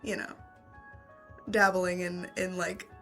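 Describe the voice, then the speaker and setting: A woman playing a tabletop game, talking casually in halting, hesitant phrases. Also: fairly low pitch, flat delivery, pushed and bright, soft background music. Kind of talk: casual improvised game talk.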